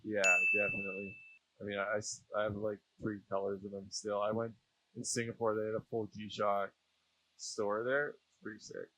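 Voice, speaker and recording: A man's voice: on the low side, flat delivery, normal speed, pushed and bright, no room sound, rough recording. A Canadian man chatting casually as an interview guest, informal and slangy. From Saskatchewan, Canada. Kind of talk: casual chat. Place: small aircraft cockpit.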